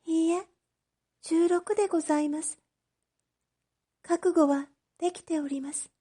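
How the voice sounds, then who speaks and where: A woman's voice: very high-pitched, steady tone, measured pace, warm tone, pitched above the performer's own voice. A woman voicing an earnest, impulsive, lovestruck teenage merchant's daughter in a storybook reading, with polite and emotional delivery. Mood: resolute. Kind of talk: storybook read-aloud acting.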